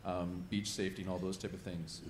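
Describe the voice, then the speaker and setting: Canadian man, low voice, quick chatty audience question, faint room noise. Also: level tone, roomy sound. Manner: chatty, self-deprecating, with fillers. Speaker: audience member asking a question. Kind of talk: casual spoken question at a panel.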